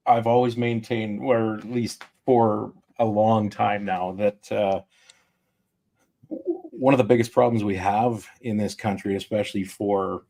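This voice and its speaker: A man's voice: fairly low pitch, steady tone, a little slow, dark tone. A man hosting a casual podcast, blunt and opinionated, swearing freely as he talks. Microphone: remote call mic.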